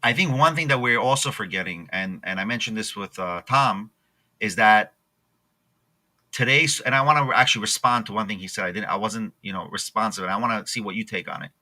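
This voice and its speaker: Bright-voiced man, hesitant and agreeable. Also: on the low side, fairly quick. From Israel. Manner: hesitant, restarts often, agreeable. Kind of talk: casual political chat.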